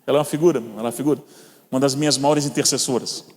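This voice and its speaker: A man's voice: medium pitch, fairly even intonation, rapid-fire, slight background noise. A man with a Brazilian Portuguese accent preaching a sermon, telling personal stories and calling the listeners brothers. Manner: storytelling, addresses listeners as brothers. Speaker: guest preacher. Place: church hall. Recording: long reverb of a church hall.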